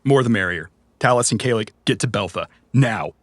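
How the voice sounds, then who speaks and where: A man's voice: lowish voice, expressive, fairly quick, no room sound. A man playing a vengeful father and mercenary in a cyberpunk audio drama, blunt, terse and commanding. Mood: grim, determined.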